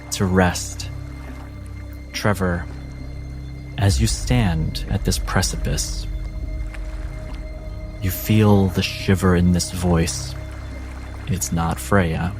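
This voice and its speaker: A man narrating a tabletop game as game master, dramatic and suspenseful, with deliberate pauses. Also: sits low, a little mellow. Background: background music and sound effects.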